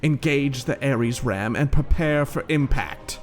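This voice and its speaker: A man's voice: mid-range voice, animated, measured pace, soft background music, lightly acted. A man voicing a commanding alien warrior empress who barks battle orders in a storybook reading. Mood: urgent command. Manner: barking, imperious.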